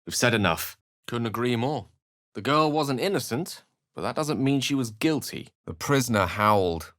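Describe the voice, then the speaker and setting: An actor playing an eloquent, theatrical and wry human wizard in a fantasy video game, with articulate, literary phrasing. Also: male voice, fairly low pitch, fairly bright tone, dry recording, studio quality. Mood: wry, measured.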